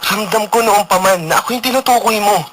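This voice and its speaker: A man's voice: high voice, a little fast, a bit bright, slight background noise. An actor with a Filipino accent playing an earnest, lovestruck young man in a radio drama, imploring and insistent. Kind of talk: emotional radio drama acting.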